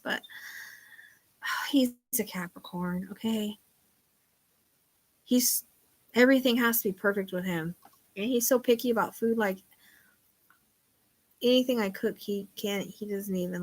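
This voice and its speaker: Middle-aged woman, psychic show host, loud voice, rambling confessional chat. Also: mid-range voice, moderate pace, long pauses. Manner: rambling, confiding, trails off quietly. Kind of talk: casual chat.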